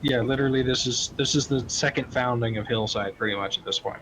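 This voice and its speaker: Bright-voiced flippant man on game chat. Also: mid-range voice, fast. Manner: flippant, profane. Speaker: online roleplay gamer. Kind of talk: casual joking roleplay chat. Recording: slightly noisy game voice chat.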